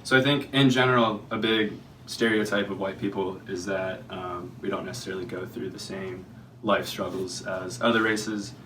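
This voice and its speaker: A man's voice: sits low, fairly even intonation, normal speed, bright, forceful tone. A teenage boy speaking hesitantly on a student panel, with many ums. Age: teens. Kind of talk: casual panel talk. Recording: distant mic, noisy.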